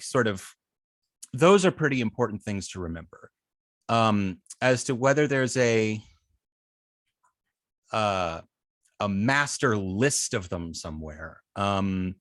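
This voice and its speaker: A man teaching informally as an instructor, explaining in a halting, hesitant way with many filled pauses and false starts. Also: on the low side, fairly bright tone, no echo, studio quality. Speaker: web design instructor.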